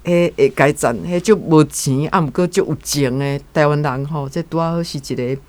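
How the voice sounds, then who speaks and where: A man's voice: a little high, moderate pace. Casting: male guest commentator, Taiwanese accent, earnest and rambling, unscripted. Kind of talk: unscripted spoken reflection.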